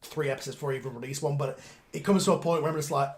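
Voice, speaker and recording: A man, the show's creator, chatting loosely on a group video call, rambling with quick asides. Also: fairly high pitch. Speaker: show creator and host. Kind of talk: casual aftershow chat on a group call.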